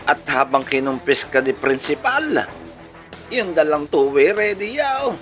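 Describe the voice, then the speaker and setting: A man's voice: a little high, big pitch swings, measured pace, fairly bright tone. A man with a Filipino accent telling a comic story as a host, in a playful, chuckling manner full of rhetorical questions. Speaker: radio-style channel host. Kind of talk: comedic storytelling. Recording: music playing behind the voice. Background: music.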